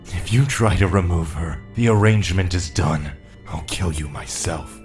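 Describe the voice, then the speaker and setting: Man playing a deep, menacing spirit. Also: a little mellow, faint music behind, natural voice. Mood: cold menace. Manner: cold, slow, threatening. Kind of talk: fantasy audio drama acting.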